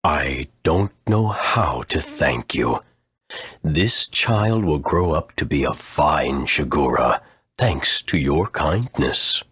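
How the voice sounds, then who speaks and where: A man playing an aged, solemn creature elder in a video game, speaking slowly and formally with a husky rumble. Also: expressive, fairly bright tone, full character voice. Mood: solemn, grateful. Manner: slow, grave, formal.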